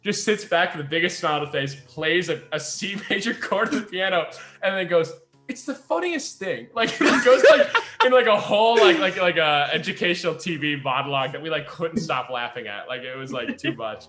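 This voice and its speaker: A man chatting casually and reminiscing as a podcast host, in an animated, storytelling way. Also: fairly high pitch, a little fast. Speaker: podcast host and audio drama creator. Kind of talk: casual chat, reminiscing among friends. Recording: roomy echo, faint music behind.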